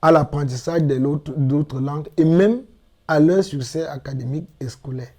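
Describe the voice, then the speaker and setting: A middle-aged man from Cameroon answering interview questions in a measured, explanatory way with emphatic repetitions. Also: a little high, dark tone. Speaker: interviewed guest, language teacher.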